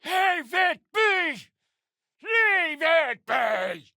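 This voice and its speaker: An actor voicing a wrathful, possessive undead being in a video game, menacing and theatrical, with a gravelly, forceful voice. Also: extremely high pitch, big pitch swings, very slow, dry recording, studio quality, exaggerated voice. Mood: enraged. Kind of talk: scripted video game character acting.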